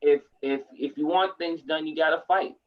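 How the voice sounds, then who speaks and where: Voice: mid-range voice, steady tone, normal speed, far from the mic, highs cut off. A co-host in casual chat who talks animatedly and repeats words when starting sentences. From United States.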